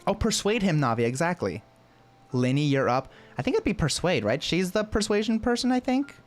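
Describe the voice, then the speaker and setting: A woman streaming a video game, reacting casually with slangy, excitable exclamations. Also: lowish voice, fairly quick. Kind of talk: casual reactions while playing. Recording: game music behind the voice.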